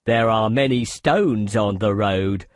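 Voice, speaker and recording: A man voicing a slow, patient, wise tortoise in a children's fable, with calm, gentle delivery. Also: lowish voice, dry recording, clean studio sound.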